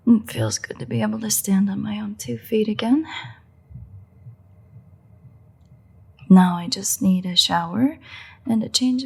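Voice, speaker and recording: A woman playing a sardonic, teasing female vampire in a roleplay audio drama, with a husky, breathy, gravelly voice and a sarcastic, exasperated manner. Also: mid-range voice, a little mellow, exaggerated voice. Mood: weary, restless. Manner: sarcastic, mocking, swearing, dry exasperation. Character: sardonic female vampire, teasing, crude, grudging toward a hunter. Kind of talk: roleplay audio drama, intimate monologue to the listener.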